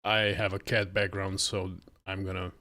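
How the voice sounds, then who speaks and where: A man's voice: low-pitched, level tone, normal speed, pushed and bright. A man in his late thirties from Croatia, chatting casually and a little hesitantly on a livestream at his desk. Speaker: livestreamer learning game development. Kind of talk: casual livestream chat while working. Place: indoor room at a desk. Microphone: close desk microphone on an arm.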